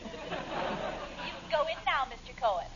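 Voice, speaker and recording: Very high-voiced prim American secretary. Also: female voice, lively intonation, unhurried, over a noisy crowd. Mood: prim, obliging. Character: prim female secretary to a private detective. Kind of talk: 1940s radio comedy acting. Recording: muffled, noisy vintage radio broadcast.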